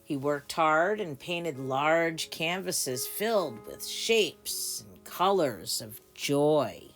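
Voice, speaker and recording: A woman reading a children's storybook aloud with deliberate, dramatic phrasing for small children. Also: low voice, big pitch swings, soft background music. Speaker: story time reader. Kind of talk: expressive children's storybook narration.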